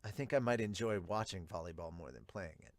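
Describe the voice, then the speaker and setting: A man voicing a quiet, reluctant teenage gamer boy in a manga dub, speaking in a subdued, flat way. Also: low voice, a little fast, no room sound, pitched below the performer's own voice. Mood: subdued, listless. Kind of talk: manga dub character reading.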